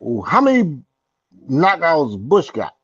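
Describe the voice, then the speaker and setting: A man's voice: on the high side, wildly swooping pitch, takes it slowly, a bit bright, breathy edge, no echo. A man with a slightly raised voice who interrupts with "hold on" and speaks bluntly and profanely in a casual boxing talk. The audio is dry and somewhat dull in tone. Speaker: boxing podcast co-host. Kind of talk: casual chat.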